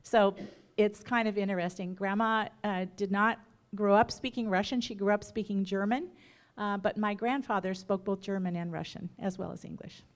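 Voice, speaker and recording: Middle-aged woman, plain mid voice, halting unscripted memorial remarks in a church. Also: medium pitch, steady tone. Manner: unscripted, halting, with many um's and self-corrections. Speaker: family member speaking at a memorial. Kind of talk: memorial remembrance talk.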